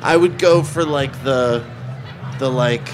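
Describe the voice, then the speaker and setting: A man's voice: mid-range voice, slow, slight background noise. A man co-hosting a podcast, chatting casually in a laid-back, joking way full of fillers. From born in Connecticut. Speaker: podcast co-host. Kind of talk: casual chat.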